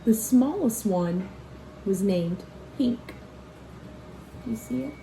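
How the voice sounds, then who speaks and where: Slow-paced woman reading a picture book aloud. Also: on the high side, slight background noise, low-quality recording. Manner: expressive, child-directed, pauses to ask questions and point out pictures. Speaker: read-aloud storyteller and teacher. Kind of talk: children's picture-book read-aloud with asides.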